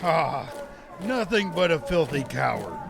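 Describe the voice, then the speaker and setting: An actor playing a gruff, scornful Roman army commander in an audio drama, with a harsh, barking delivery. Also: male voice, a little high, big pitch swings, a little slow, exaggerated voice.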